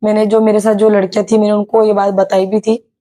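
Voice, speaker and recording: Hoarse young woman, mellow voice. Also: mid-range voice, flat delivery, quick pace. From Maharashtra, India. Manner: chatty, many hesitations and English words mixed in. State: slightly sore throat. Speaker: YouTuber sharing her exam experience. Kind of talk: casual vlog storytelling.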